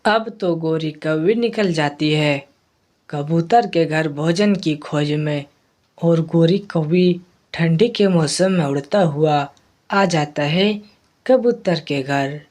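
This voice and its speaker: A woman's voice: low voice, slow pace, darkish tone. A woman narrating a children's animal fable as a storyteller.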